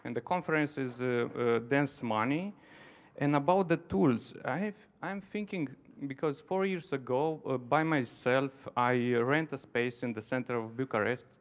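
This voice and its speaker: A man's voice: medium pitch, normal speed. A man from Romania with a Romanian accent, speaking non-native English in an informal conference talk, searching for his words as he goes. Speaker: dance centre founder, conference participant.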